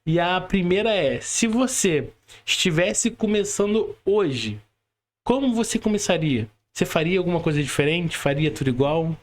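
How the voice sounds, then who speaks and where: Brazilian male podcast host, high voice, informal and conversational, checks in with little tag questions. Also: animated, moderate pace. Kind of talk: casual interview chat with calls to subscribe. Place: remote video call.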